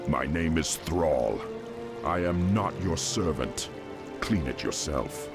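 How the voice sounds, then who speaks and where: A man's voice: very deep voice, lively intonation, takes it slowly, creaky, over music, full character voice. A man playing a proud, earnest young orc warrior in a fantasy game, terse and dignified. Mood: proud, firm. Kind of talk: fantasy game cutscene dialogue.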